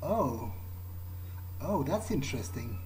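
Mellow man thinking aloud in game. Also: mid-range voice, big pitch swings, slow, a little hiss or hum, rough recording. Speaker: gamer playing in character. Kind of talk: in-character roleplay game commentary, thinking aloud.

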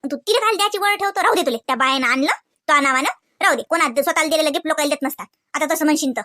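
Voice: sits high, very fast, pushed and bright. A performer voicing chatty, fussy village women in a comedy cartoon, with a rural Varhadi Marathi accent and a nagging, colloquial way of talking full of questioning tags. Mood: insistent, nagging. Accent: rural Varhadi Marathi, Vidarbha. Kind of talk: comedy cartoon dialogue in rural household chat.

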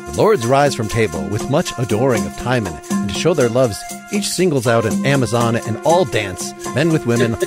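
A man's voice: mid-range voice, animated, normal speed, few pauses, music in the background. A man reading out stage directions in a dramatic play reading.

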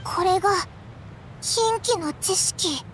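A woman's voice: very high-pitched, slow pace, background noise. A woman voicing a small, wise young girl goddess in an anime game, composed and earnest. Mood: grave, compassionate. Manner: composed, earnest, soft feminine phrasing.

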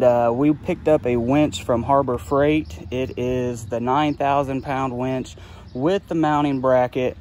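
A man's voice: mid-range voice, mellow. Plain-spoken man, outdoor noise behind. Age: middle-aged. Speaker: YouTube channel host, trucking business owner. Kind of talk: casual how-to walkthrough. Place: outdoors beside a trailer. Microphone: handheld phone at arm's length.

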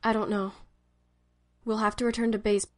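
A woman voicing a measured, businesslike futuristic armored soldier in a game machinima. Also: mid-range voice, level tone, close to an everyday voice. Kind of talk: scripted machinima voice acting.

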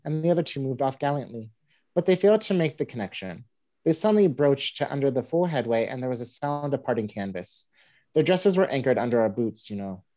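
A man's voice: mid-range voice, close to an everyday voice. A man playing a pompous, comically wounded newspaper humorist in a mock-grand stage monologue. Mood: mock dignity. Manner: mock-grand, animated delivery. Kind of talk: theatrical reading of a humorous monologue. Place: indoors at home on a video call. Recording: dull video-call audio.

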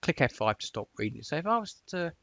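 A man talks someone through software in a loose, chatty tutorial style. His voice has a noticeable vocal fry, and the close recording is dry and slightly dull. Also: a little high, sing-song, fast, no echo. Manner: chatty, informal, rambling. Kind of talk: casual screen-share tutorial walkthrough.

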